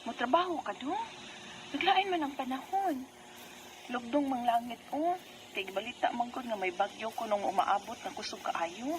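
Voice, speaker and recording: A woman's voice: fairly high pitch, lively intonation, moderate pace, background noise, natural voice. A woman with a Cebuano Filipino accent acting a worried wife and mother in her thirties, telling her story in a radio drama. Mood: concerned. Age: 30s. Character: Era, worried thirty-one-year-old wife and mother. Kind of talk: Cebuano radio drama letter narration and dialogue.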